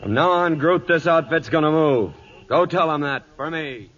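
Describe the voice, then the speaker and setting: Actor as hard, commanding cattle boss, old radio. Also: male voice, on the high side, moderate pace, fairly bright tone, close to an everyday voice. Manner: commanding, terse, menacing. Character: hard, stubborn, domineering cattle drive boss. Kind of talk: 1940s radio western acting. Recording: dull old radio broadcast with audience.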